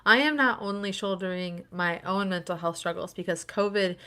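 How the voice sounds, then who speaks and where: A woman's voice: medium pitch, normal speed, pushed and bright, clear, resonant tone. A woman hosting a panel discussion, earnest and open as she talks about her own struggles. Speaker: panel host.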